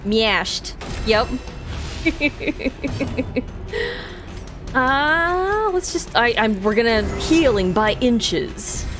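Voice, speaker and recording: A woman's voice: a little high, expressive, drawn-out words, music in the background. A woman with a Minnesota accent, streaming a game and chatting casually in a rambling way, laughing easily. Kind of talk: casual chat while playing.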